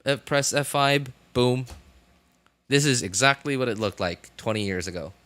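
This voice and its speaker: A man in his thirties chatting casually on a livestream, rambling and haltingly thinking aloud. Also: mid-range voice, animated, fairly bright tone.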